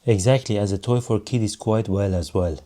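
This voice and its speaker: A man giving a casual hands-on product review in measured, non-native English phrasing. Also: fairly low pitch, warm tone. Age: adult.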